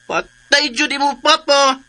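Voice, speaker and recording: An actor playing an innocent, excitable, simple-minded younger brother in a radio drama, stammering and repeating himself in a Cebuano accent. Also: male voice, very high-pitched, music in the background. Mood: innocent, earnest. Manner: halting, stammering, repetitive childlike talk. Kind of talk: Filipino radio drama acting.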